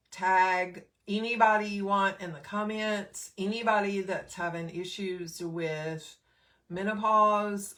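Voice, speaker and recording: A woman's voice: mid-range voice, fairly bright tone. A middle-aged woman, a nurse, hosting a casual live stream with a slightly scratchy throat, chatting with many fillers and greeting viewers by name.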